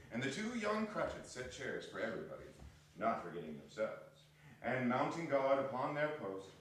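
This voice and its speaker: A man's voice: mid-range voice, fairly bright tone. A man narrating a story in a theatrical style as part of a staged dramatic reading. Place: indoor performance hall. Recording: noisy echoey hall from far off.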